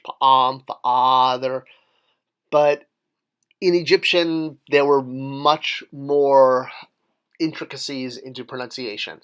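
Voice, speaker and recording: Guy teaching a doodle lesson, chatty and friendly, laughs at himself, explains step by step, middle pitch. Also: a bit bright. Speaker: drawing and hieroglyph teacher. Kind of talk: casual tutorial. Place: voice-over for an overhead video of paper drawings.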